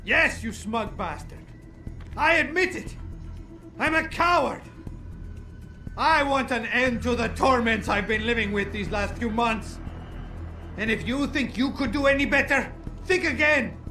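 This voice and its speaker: Bright-voiced, despairing disgraced man. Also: very high-pitched, a little slow, pauses often, faint music behind, heavily acted. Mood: angry, defiant. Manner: formal, eloquent, emotionally wrought. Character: despairing, guilt-ridden disgraced male activist, bitter and proud. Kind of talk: dramatic video game voice acting.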